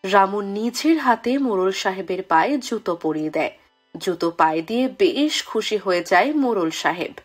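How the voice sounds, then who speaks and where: A woman narrates a children's fairy tale as a scripted storyteller. Her voice sits at a medium pitch, with soft music playing behind. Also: expressive, moderate pace.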